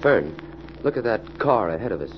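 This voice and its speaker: An earnest, decisive crime-fighting scientist in an old American radio play. His American voice moves widely in pitch. The recording is muffled with faint noise. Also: sing-song, normal speed. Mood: alert. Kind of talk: 1940s radio acting.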